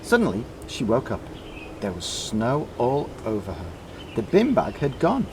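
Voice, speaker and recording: A man reading a children's story aloud in short, deliberately emphasised phrases. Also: mid-range voice, huge pitch swings, noisy background. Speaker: storybook reader.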